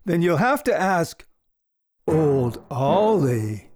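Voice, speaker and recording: A batty old cod from a storybook, acted by a narrator, drawing his words out slowly. His pitch swings about in a dry, close recording, with mad amusement in the delivery. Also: big pitch swings, darkish tone, breathy edge, dry recording, heavily acted. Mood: mocking, amused. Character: old male cod, crazy, laughing, choking out words.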